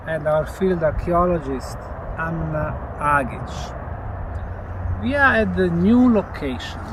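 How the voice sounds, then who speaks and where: A middle-aged man presenting to camera on location, speaking in a measured, emphatic, announcing way. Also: high voice. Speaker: presenter and lead investigator. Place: open grassy hillside outdoors. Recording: outdoor recording with clear ambient noise.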